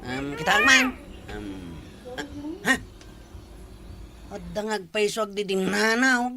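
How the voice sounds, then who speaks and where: Sneaky, animated villager played by a woman. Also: mid-range voice, a little slow, crowd noise. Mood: teasing. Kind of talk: Ilocano village comedy drama acting.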